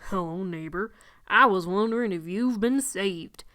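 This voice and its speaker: A woman's voice: medium pitch, unhurried, pushed and bright, no echo, clean studio sound. A woman voicing a huge, friendly, pious man in a story, kindly and earnest in a preachy way. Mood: bright.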